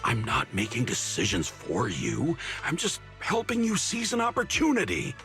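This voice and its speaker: A man's voice: medium pitch, huge pitch swings, normal speed, gravelly, a bit bright, soft background music, full character voice. A man playing a glib, eager young mystic-arts disciple in an anime-style game, cajoling like a theatrical salesman.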